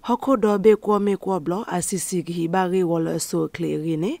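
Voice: mid-range voice, moderate pace, gravelly, dark tone, dry recording. A radio presenter reading scripted news.

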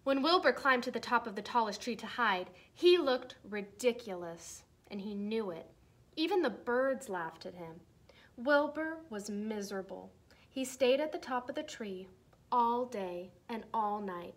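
A young woman reads a children's picture book aloud in an expressive, deliberate way for young listeners. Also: fairly high pitch. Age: young adult. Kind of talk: storybook read-aloud. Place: small decorated room indoors.

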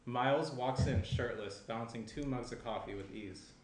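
A man's voice: mid-range voice, normal speed. A young man reading stage directions as the narrator of a screenplay table read. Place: indoor room, seated reading from tablet. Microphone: shared desk microphone, some distance. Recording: roomy echo, faint background noise.

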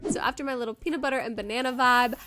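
A woman's voice: a little high, steady tone, very fast, over music. Chatty young woman with a stuffy nose. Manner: chatty, bubbly, says "like" a lot. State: nasal from a cold. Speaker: vlogger. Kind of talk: casual voice-over narration. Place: home kitchen, indoors.

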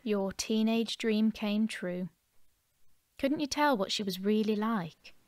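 An actress playing a caring, steady female friend in an audio drama, soothing and practical. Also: medium pitch, slow pace, no room sound, natural voice. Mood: curious.

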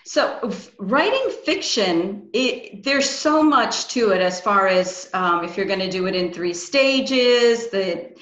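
A woman's voice: medium pitch, animated, slow, hardly any pauses, a bit bright. A middle-aged woman hosting a casual interview over a video call. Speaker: interview host. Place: small room, indoors. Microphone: video call, computer microphone. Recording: echoey room on a video call.

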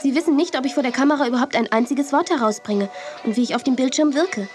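A woman's voice: high-pitched, fast talker, soft background music, natural voice. An actress playing a modest, sensible young woman, a friendly big sister, in a children's audio drama. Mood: calm, reasonable. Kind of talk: 1980s German children's audio drama acting.

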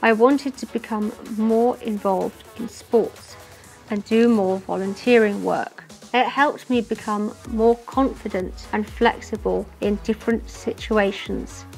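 A woman's voice: medium pitch, steady tone, unhurried, a little mellow, soft background music. A woman in her twenties from England, speaking steadily and plainly. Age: 20s. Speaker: interviewee.

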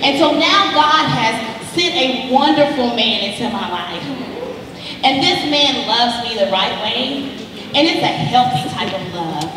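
A woman's voice: fairly high pitch, lively intonation, measured pace. An actress on stage playing an earnest, encouraging female counselor who speaks in an emphatic, preachy, reassuring way. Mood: earnest, testifying. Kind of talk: stage play acting. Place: indoor theater stage living-room set. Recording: echoey theater stage, distant, noisy.

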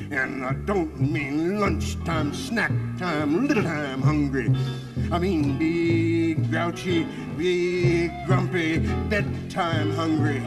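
A man voicing a huge, ravenous, boastful male bear who booms and brags menacingly, over loud instrumental music. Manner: booming, bragging, menacing.

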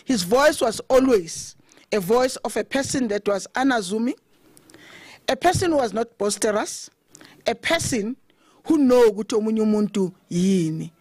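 A middle-aged woman with a South African accent giving a loud, conversational funeral tribute at a podium mic under an open thatched shelter, switching between English and Zulu. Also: fairly high pitch, expressive, moderate pace, no room sound. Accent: South African, mixing Zulu. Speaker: eulogy speaker, committee chair.

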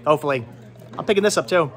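Higher-pitched man, jokey and chatty. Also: rapid-fire. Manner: jokey, teasing. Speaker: vlog host, movie collector. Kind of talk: casual chat to camera while shopping. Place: indoors, small cluttered movie and game shop. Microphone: handheld camera at arm's length.

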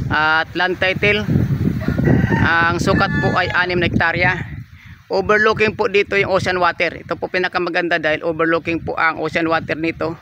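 A man's voice: fairly high pitch, muffled recording, background noise. A man, narrating a walking tour of a farm lot for sale, politely pointing things out as he goes. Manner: polite, repeats "yan po" and "oh" while pointing things out. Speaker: real estate agent giving a property tour. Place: outdoors on a coconut farm.

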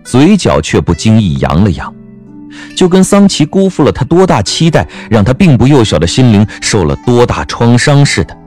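A man narrating a scripted story in a wry, expressive manner. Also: medium pitch, moderate pace, soft background music.

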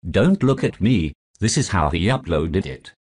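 A man's voice: sits low, very animated, a little slow, a little mellow, slightly rough, voice pushed down, exaggerated voice. A man voicing a formal, butler-like male robot hat sidekick. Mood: dry, formal. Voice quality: polite butler-like, dry.

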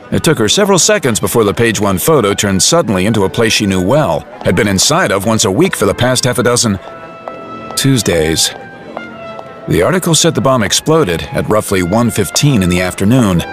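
A man's voice: lowish voice, very animated, moderate pace, faint music behind. A man narrating a dramatised audiobook in a wry, colloquial storytelling manner.

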